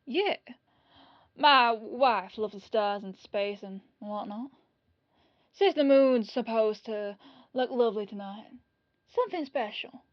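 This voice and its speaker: A woman's voice: fairly high pitch, slow pace, pauses often, dry recording, lo-fi sound. A woman playing a humble, apologetic police detective in an ASMR roleplay, chatty and rambling in a disarmingly casual way. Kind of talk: ASMR roleplay audio drama.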